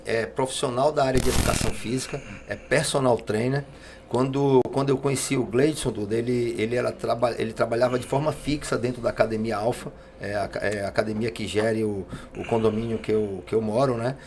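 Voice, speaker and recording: A man hosting a podcast, interviewing casually and haltingly, repeating words as he builds his questions. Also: mid-range voice, steady tone, a little mellow, a little hiss or hum. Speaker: podcast host.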